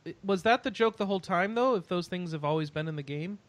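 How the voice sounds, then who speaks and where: A man's voice: high-pitched, fast talker, no echo. A man co-hosting a podcast in casual, playful, joking chat. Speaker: podcast co-host.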